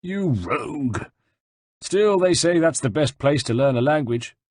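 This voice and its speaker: A man voicing a gruff, blunt, hot-tempered Italian mercenary general in an audiobook, growling and impatient. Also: a little high, animated, unhurried, darkish tone, dry recording, pitched above the performer's own voice. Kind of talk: dramatised audiobook narration.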